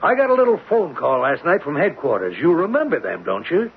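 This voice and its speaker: An actor playing an impatient, sarcastic, overbearing drilling boss in a radio drama, curt and commanding. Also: male voice, on the high side, sing-song, a little fast, vocal fry, narrow, tinny audio. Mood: irritated, sarcastic. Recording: thin, noisy vintage radio recording.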